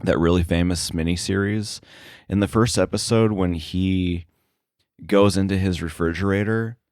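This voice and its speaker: A man with a deep voice gives casual interview answers in a dry recording. He talks haltingly, hedging and working out his point as he goes. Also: steady tone, darkish tone, dry recording. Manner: halting, hedging, thinking aloud. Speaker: podcast guest, sound editor.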